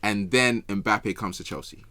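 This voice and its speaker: A man's voice: on the low side, a little slow, bright, forceful tone, a little hiss or hum. A man on a football podcast, chatting in casual slang as an emphatic fan who often repeats his phrases.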